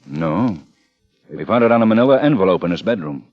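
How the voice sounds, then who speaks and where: An actor playing a calm, dry police lieutenant in a 1950s radio crime drama, questioning in a measured, understated way. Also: male voice, low-pitched. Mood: dry, probing. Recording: muffled vintage radio broadcast, music.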